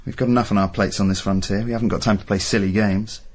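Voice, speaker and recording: An actor playing an earnest young Roman army officer in a radio drama, polite and understated. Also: male voice, lowish voice, steady tone, fast talker, close to an everyday voice. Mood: concerned.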